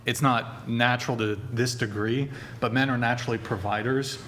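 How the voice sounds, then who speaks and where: A man hosting a podcast panel, joking and hesitant, with lots of fillers, speaking into a desk mic in a bright studio. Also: mid-range voice, fairly even intonation, normal speed, slight background noise. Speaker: podcast host. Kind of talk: casual panel chat. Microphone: desk mic on arm.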